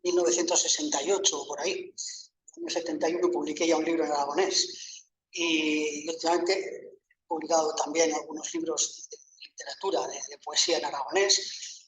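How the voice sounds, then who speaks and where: A man's voice: fairly high pitch, level tone, normal speed. Older male professor, hesitant, echoey room. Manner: thoughtful, hesitant with many fillers and restarts. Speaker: university professor. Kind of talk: panel talk answering a question. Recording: echoey room, mic at a distance.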